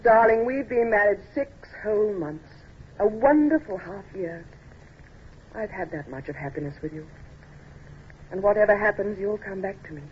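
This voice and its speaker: A woman acting a genteel, devoted English lady in an old-time radio drama, gracious and gently teasing. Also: mid-range voice, moderate pace, lightly acted. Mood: tender. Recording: muffled, hissy old radio recording.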